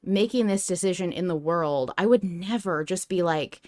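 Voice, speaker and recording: A woman hosting a podcast, talking casually and emphatically as she deliberates aloud. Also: on the low side, a little mellow. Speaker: podcast host. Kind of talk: casual fan discussion.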